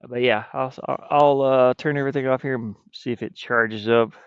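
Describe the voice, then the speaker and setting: A man chatting casually on a livestream as a game developer, speaking in a halting way and often trailing off. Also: fairly even intonation, clean tone, no room sound. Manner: hesitant, halting, frequently trailing off. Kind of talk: casual stream chat. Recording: muffled, dull low-fidelity stream audio.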